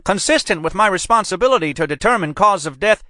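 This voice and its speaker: A man's voice: expressive, quick pace, sharp tone, no room sound, clean studio sound. A man voicing an indignant older male medical examiner who blusters when challenged. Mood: defensive. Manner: indignant, high-strung, blustering.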